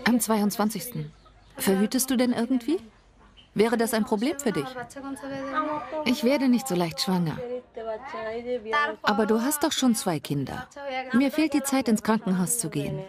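A woman's voice: fairly high pitch, lively intonation, normal speed, pauses often, a crowd in the background. A woman speaking conversationally in a documentary voice-over.

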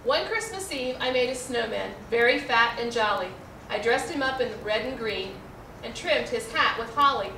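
A middle-aged American woman with a high, bright voice reads a children's storybook aloud, articulating carefully and expressively. She is recorded at a distance in an echoey room. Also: animated, moderate pace. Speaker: librarian reading a picture book aloud. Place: indoor room, seated before a backdrop. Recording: roomy, distant mic, faint noise.

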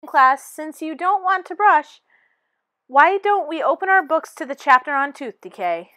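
A woman voicing a brisk, encouraging teacher of a class of teeth in a storybook, projecting instructively. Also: high voice, lively intonation, pushed and bright, dry recording, heavily acted.

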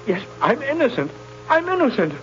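A man's voice: extremely high pitch, big pitch swings, normal speed, fairly bright tone, full character voice. An actor playing a frightened, innocent condemned man, frantic and pleading in 1930s radio style. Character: desperate, frightened innocent man on death row. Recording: muffled, noisy old radio recording.

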